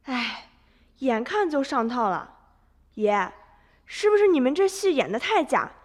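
A woman's voice: high-pitched, big pitch swings, measured pace, long reverb tail, heavily acted. A woman playing a curious, perceptive young grandchild in a radio drama, asking questions in an inquisitive way.

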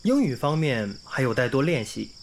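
A man's voice: medium pitch, sing-song, moderate pace, a little hiss or hum. An actor playing a kindly, earnest young male English teacher in a radio drama, with an encouraging manner. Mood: thoughtful. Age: young adult. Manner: clear, encouraging classroom delivery. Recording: music under the dialogue.

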